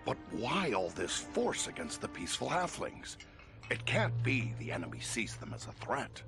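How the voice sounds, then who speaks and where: An actor playing a sturdy, plain-spoken dwarf warrior in a fantasy game. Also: male voice, mid-range voice, big pitch swings, moderate pace, gravelly, music in the background. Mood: resolute.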